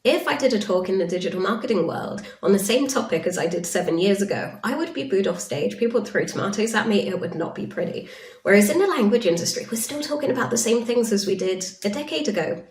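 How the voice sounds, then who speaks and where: A woman's voice: mid-range voice, darkish tone. A woman giving an informal presentation in a frank, conversational, explanatory way. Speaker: conference presenter, industry consultant. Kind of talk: informal conference-style presentation. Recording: roomy echo, distant microphone.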